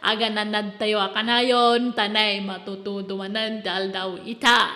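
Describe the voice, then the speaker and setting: Slow, bright-voiced Ilocano woman host. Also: medium pitch, little pitch movement, reverberant. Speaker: drama host and letter reader. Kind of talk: scripted hosting and narration. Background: music.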